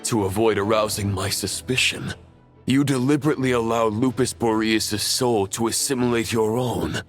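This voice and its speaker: An actor voicing a cold, gloating, ancient villain in a video game, speaking in a grandiose, deliberate manner. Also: male voice, on the low side, fairly even intonation, natural voice. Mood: realizing. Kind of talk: scripted video game acting. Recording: voice over game music.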